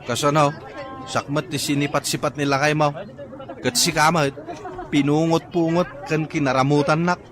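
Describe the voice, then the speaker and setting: An actor playing a hapless, excitable, complaining market vendor in an Ilocano comedy radio drama, with an Ilocano accent. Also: male voice, on the high side, moderate pace, a crowd in the background, lightly acted. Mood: aggrieved. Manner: exaggerated, plaintive. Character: hapless, whining married male tilapia vendor, excitable complainer.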